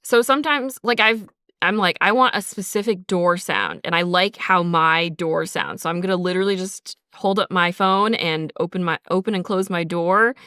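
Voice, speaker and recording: Woman podcast host just chatting, super informal and encouraging, clean smooth voice, dry close sound. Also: fairly low pitch, sharp tone, no echo. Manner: informal, encouraging, frequent "like" and "sort of". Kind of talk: casual conversational podcast talk.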